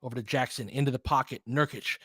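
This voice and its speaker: A man's voice: mid-range voice, steady tone, fast, fairly bright tone, breathy edge, dry recording. American man calling basketball casually, dry sound. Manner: informal, chatty asides between clipped play calls. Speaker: fan host doing play-by-play commentary. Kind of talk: casual live basketball commentary.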